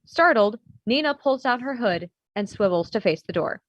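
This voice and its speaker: Fairly high-voiced woman reading stage directions. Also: expressive, normal speed, dry recording. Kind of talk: scripted narration at a table read. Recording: dull, slightly lo-fi audio.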